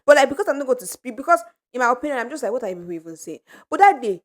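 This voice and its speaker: A woman co-hosting a casual podcast chat with friends, telling animated stories and laughing often. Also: high-pitched, very fast. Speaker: podcast co-host.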